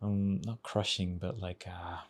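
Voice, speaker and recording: A man with a Dutch accent in casual podcast chat, halting with fillers and restarts. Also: low voice, level tone. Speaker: podcast co-host. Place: Japanese company.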